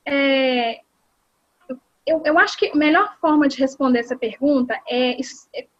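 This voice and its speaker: A high-pitched Brazilian woman speaks over a video call, answering at length and pausing often to search for words. Her voice sounds dry and close, with a slightly dull, lo-fi tone. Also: dry recording. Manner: thoughtful, many fillers and restarts. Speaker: executive secretary and English teacher. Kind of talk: interview answers.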